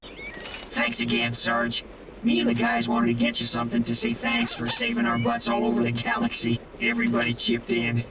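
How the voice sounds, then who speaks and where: An actor playing a plain-spoken, grateful soldier in a video game, speaking informally. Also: male voice, mid-range voice, monotone, moderate pace, background noise, lightly acted.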